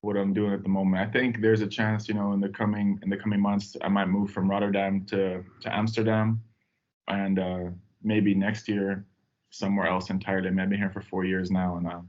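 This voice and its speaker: A young man from Tanzania chats as a podcast guest, wandering through his story with plenty of fillers. His voice sits a little low. The recording sounds dull and lo-fi. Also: level tone, a little fast, darkish tone. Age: young adult. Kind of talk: casual podcast chat.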